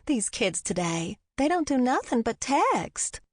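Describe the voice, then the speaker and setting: A woman's voice: high-pitched, sing-song, a little slow, no echo, studio quality, pitched above the performer's own voice, heavily acted. A woman reading aloud voices a talkative, motherly female hospital nurse with a folksy, kindly scolding manner. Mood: gossipy. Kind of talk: audiobook character voice.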